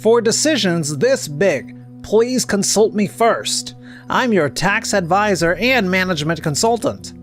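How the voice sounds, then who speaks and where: A woman's voice: mid-range voice, animated, measured pace, faint music behind, lightly acted. A woman playing a stern female tax advisor in a comic dub, with a firm, reproachful manner. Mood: stern scolding. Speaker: tax advisor and management consultant. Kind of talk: anime-style comic dub acting.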